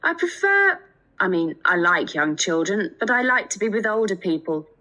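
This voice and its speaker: Young japanese student lady, friendly n careful, bright voice, really up and down melody. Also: mid-range voice, very animated, moderate pace, rough recording. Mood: open, chatty. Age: 20s. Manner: careful, spells things out clearly. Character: friendly 28-year-old Japanese woman student seeking a homestay. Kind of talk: scripted listening test dialogue.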